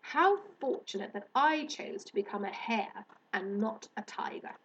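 Woman putting on a haughty, slow-spoken magical alien hare. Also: medium pitch, animated, fairly bright tone, highs cut off, a little hiss or hum. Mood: lofty, disapproving. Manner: pompous, drawn-out emphatic delivery.

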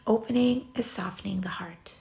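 A woman guiding a yoga nidra relaxation session in a soft, soothing, breathy way, with long pauses between short phrases. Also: mid-range voice. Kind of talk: guided relaxation meditation.

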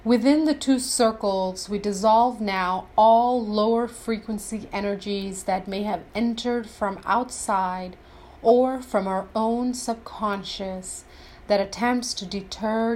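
A woman leading a scripted guided meditation in a deliberate, reverent, instructional way. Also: medium pitch, darkish tone, slight background noise.

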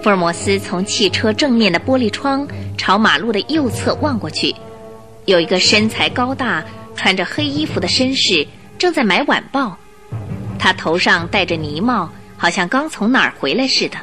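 A woman reading scripted narration for a Mandarin radio drama with expressive storyteller phrasing. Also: mid-range voice, normal speed, faint music behind.

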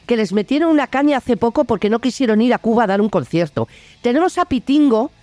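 A woman's voice: fairly high pitch, a little mellow, dry recording. A woman with a Spanish accent from Spain delivers an opinionated political monologue in a blunt, indignant, colloquial way. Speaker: host of a political opinion video show.

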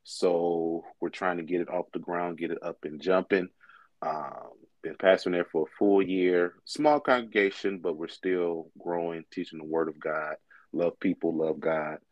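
Deep-voiced young man pastor. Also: normal speed, dry recording, dull audio. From Michigan. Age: 20s. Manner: relaxed, conversational, with frequent fillers. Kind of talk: casual interview conversation.

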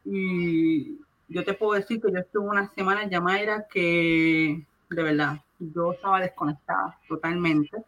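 A woman's voice: on the low side, fairly even intonation, a little slow, fairly bright tone, dull audio. A middle-aged woman with a Puerto Rican accent gives a personal religious testimony, speaking earnestly and directly to listeners with emphatic repetition. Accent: Puerto Rican Spanish. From Puerto Rico.

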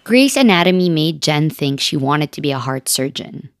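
A woman co-hosting a podcast, reading scripted narration in a smooth, measured storytelling way. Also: low-pitched, expressive, dry recording, studio quality. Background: music bed.

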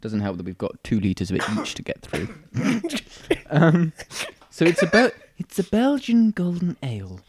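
A man's voice: on the high side, huge pitch swings, normal speed, few pauses, a little mellow, husky, dry recording. A British man co-hosting a casual show, bantering in a jokey, rambling, self-deprecating way. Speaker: co-host of a chat show about beer and snacks.

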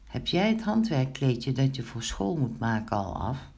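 A woman's voice: rumbling low, very animated, a little slow, darkish tone, exaggerated voice. Woman playing a strict storybook mother. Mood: questioning. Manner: scolding, firm. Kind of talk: children's storybook character voice.

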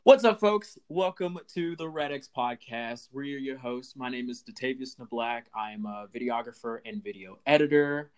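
Man, podcast co-host, casual, playful, slangy, mid pitch. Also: normal speed, hardly any pauses, clear, resonant tone.

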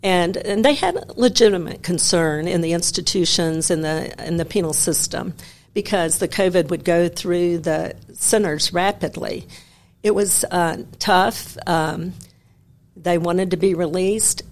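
Low-voiced woman in her sixties from Memphis. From Memphis, Tennessee. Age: 60s. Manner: measured, wry storytelling. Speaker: guest, retired federal judge. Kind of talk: conversational interview.

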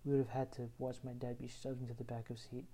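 A young man from Houston, Texas, reading his own written personal story aloud in an earnest, measured way. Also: mid-range voice, flat delivery, mellow. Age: young adult.

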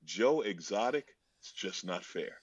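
A man with a deep voice, answering fan questions with wry, deadpan jokes and drawn-out punchlines during a video call. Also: breathy edge, no room sound. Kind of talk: joking video-call chat. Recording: dull, lo-fi video-call audio.

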